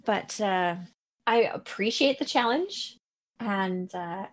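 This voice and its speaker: A woman's voice: medium pitch, animated, slow pace. A woman co-hosting a podcast, talking with enthusiasm and teasing her friend. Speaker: podcast co-host. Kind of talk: casual chat.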